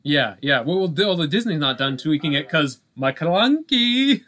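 Man in halting, casual podcast conversation. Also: a little high, big pitch swings, fairly bright tone. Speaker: podcast co-host. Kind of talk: casual film discussion.

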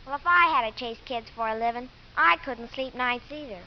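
A high-pitched, precocious schoolgirl in a 1950s radio play. She wisecracks matter-of-factly like a streetwise kid. The old recording is muffled, with light hiss. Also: a little fast. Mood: breezy, cheeky. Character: precocious, cheeky eleven-year-old girl, streetwise schoolgirl.

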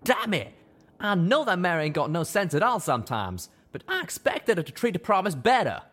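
A hot-tempered young pegasus bellows and rants in a country drawl. His voice sits high above the reader's own and swings widely as he shouts. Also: big pitch swings, measured pace, exaggerated voice. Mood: angry shouting. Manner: yelling, ranting.

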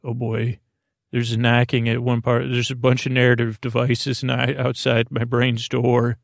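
Male bedtime podcast host. Gravelly fry, dry sound, rambling and whimsical, halting, keeps derailing his own sentences. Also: mid-range voice, monotone, dry recording. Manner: meandering, hesitant, trails off and interrupts himself.

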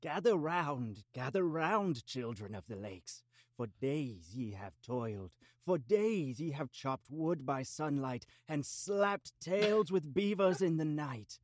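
A man's voice: fairly high pitch, expressive, takes it slowly, no room sound. Guy doing a hungry goat lady speechifier, super pompous, old-timey wording, audio drama style, dry sound. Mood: grandly proclaiming. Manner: pompous, archaic, theatrical declaiming. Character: grandiose old she-goat orator, hungry and florid.

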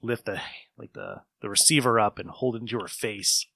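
A man's voice: fairly low pitch, lively intonation, moderate pace, creaky, pushed and bright, dry recording, studio quality. A man hosting a casual podcast chat about old radio shows, rambling along as an enthusiastic storyteller. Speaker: podcast host.

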